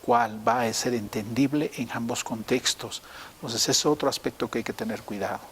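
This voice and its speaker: A man's voice: medium pitch, normal speed, a bit bright. A man giving an explanatory interview in a didactic way, rephrasing his points step by step. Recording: noticeable hiss behind the voice.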